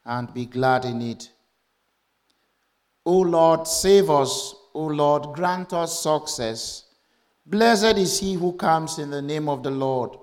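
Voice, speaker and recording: A man speaking as a church minister leading a service, with measured, formal and deliberate phrasing. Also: a little high, darkish tone. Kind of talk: church welcome, announcements and scripture reading.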